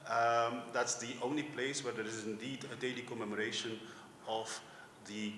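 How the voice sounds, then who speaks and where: A man from Flanders with a Flemish accent gives a semi-formal public speech as a guest, pausing often with ums. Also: mid-range voice, flat delivery. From Flanders, Belgium. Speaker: museum director, guest speaker. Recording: echoey hall with faint background noise.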